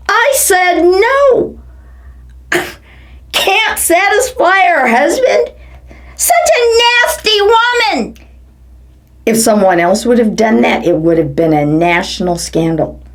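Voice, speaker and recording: A woman from the United States giving a theatrical satirical reading, swinging between low mutters and high exclamations. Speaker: performance artist. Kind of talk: satirical dramatic reading of quotes. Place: home living room.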